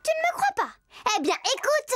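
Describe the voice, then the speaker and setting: A performer voicing an excitable, boastful little girl who chats to the viewer as she tells a cartoon story, over loud music. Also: extremely high pitch, normal speed, heavily acted. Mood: confiding. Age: child character. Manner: playful, chatty, addresses the viewer directly. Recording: loud children's music under voice.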